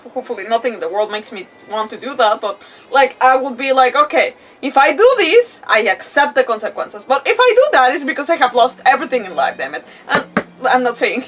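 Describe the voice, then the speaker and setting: A woman streaming with casual game commentary, expressive and rambling as she thinks aloud. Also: on the high side, recorded from a distance. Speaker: live streamer.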